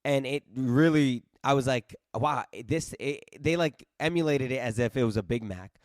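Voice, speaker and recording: A man's voice: medium pitch, normal speed, no echo, clean studio sound. A man in his late twenties hosting a casual interview over a video call, eager and full of restarts and repeats. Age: late 20s. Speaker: podcast host. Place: East Coast home, remote video call.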